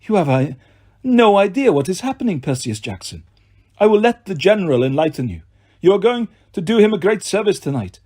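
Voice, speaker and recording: Male reader as monster villain, menacing, smugly formal, swooping intonation, husky, storybook narration. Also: high-pitched, big pitch swings, mellow, voice pushed up. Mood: disdainful. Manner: slow, formal, taunting.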